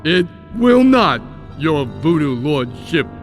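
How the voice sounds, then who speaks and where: A scheming henchman to a pirate villain in a video game. He is gruff and dismissive, talking slowly and theatrically in a fairly high male voice, with faint music behind. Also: big pitch swings.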